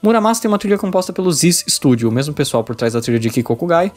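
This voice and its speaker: A man's voice: fairly high pitch, sing-song, very fast. A Brazilian man narrating a scripted review video as a game critic, in a conversational register. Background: background music.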